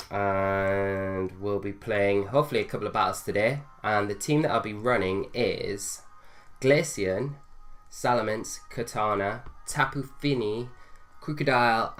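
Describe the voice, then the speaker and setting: Low-voiced man with a cold. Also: unhurried, a bit bright, faint music behind. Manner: thinks aloud, hesitant with fillers. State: nasal from a cold. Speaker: gaming video host. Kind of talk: casual gameplay commentary.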